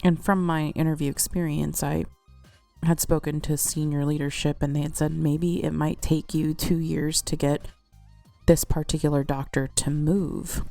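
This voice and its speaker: A woman with a slightly congested, cold-affected voice, telling a personal account in a casual, measured storytelling way. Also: low-pitched, little pitch movement, vocal fry, warm tone, soft background music. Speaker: host narrating a personal account.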